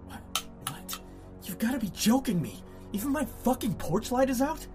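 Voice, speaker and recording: A man's voice: extremely high pitch, very animated, moderate pace, darkish tone. A man playing a frightened, foul-mouthed ordinary guy in a horror audio drama, muttering and exclaiming to himself. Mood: nervous. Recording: music behind the voice.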